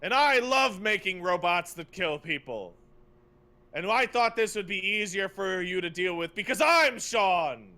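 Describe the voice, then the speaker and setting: A man's voice: high voice, expressive, a little slow, pushed and bright, exaggerated voice. A man voicing a smug old villain, a boastful and flippant leader of evil scientists, in a mock game retelling.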